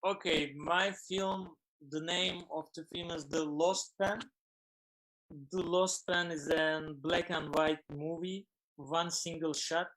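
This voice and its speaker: A man with a Turkish accent, a film director guest on an online interview, speaking English haltingly and searching for words. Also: on the high side, steady tone, a bit bright, poor audio. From Turkey.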